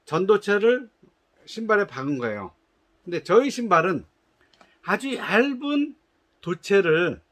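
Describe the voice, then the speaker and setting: High-voiced man explaining a product test. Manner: patient, explanatory, with frequent hesitations and restarts; gives instructions in polite formal speech. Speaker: shoe company representative.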